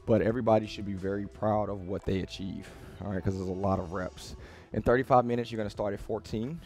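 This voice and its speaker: Male gym coach, 30s, lowish register with fry, casual and motivational, giving clear step-by-step workout instruction. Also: steady tone, fast, a little mellow, faint music behind. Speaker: fitness coach. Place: small home-style gym with rubber floor, indoors.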